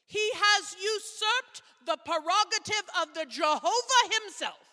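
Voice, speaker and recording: A woman in a readers theater playing a resolute 1840s suffragist, declaiming solemnly and emphatically in short, halting phrases. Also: very high voice, expressive, sharp tone, big-room sound, heavily acted.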